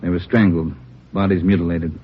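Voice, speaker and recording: A calm police lab chief. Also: male voice, sits low, moderate pace, breathy edge. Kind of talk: 1950s radio drama acting. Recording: dull 1950s radio broadcast.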